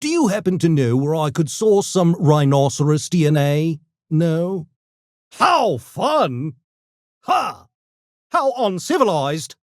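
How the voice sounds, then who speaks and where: An actor voicing a smug, erudite genius gremlin for a video game, with pompous, mock-genteel, theatrical diction that tips into gleeful mania. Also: male voice, fairly high pitch, sing-song, slow, a little mellow, no room sound, studio quality. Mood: playfully pompous. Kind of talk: video game character voice lines.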